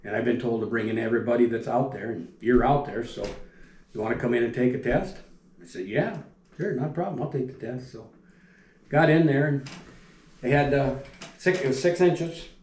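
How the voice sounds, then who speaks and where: An older man spins plain-spoken stories about his years on the factory floor, wandering through details and letting his voice sink low at the ends of thoughts. He sits at a dining room table, picked up by a camera across from him, and the room lends an echo to his mid-pitched voice. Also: moderate pace. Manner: rambling, folksy, restarts and trails off quietly. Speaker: retired factory welder telling stories. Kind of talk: casual storytelling. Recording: echoey room.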